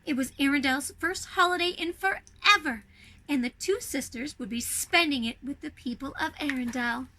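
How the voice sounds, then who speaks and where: A woman reading a children's storybook aloud in a playful, expressive way, speaking to young listeners. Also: high-pitched, moderate pace, slight background noise, poor audio.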